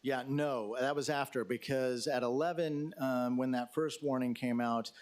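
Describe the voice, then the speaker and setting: A man's voice: medium pitch, steady tone, moderate pace. Hesitant man briefing a council. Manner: hesitant, many fillers and restarts; addresses questioner as sir. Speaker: emergency management official. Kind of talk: answering questions at a council briefing.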